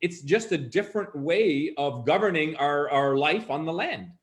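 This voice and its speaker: A man's voice: a little high, lively intonation, moderate pace. A male panelist in an online debate who argues earnestly with many repeated words and restarts.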